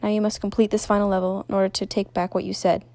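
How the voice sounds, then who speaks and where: A woman voicing a cold, menacing overlord of a deadly simulation in a story, making loud, ominous pronouncements. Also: mid-range voice, little pitch movement, fast, no room sound, lightly acted. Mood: commanding.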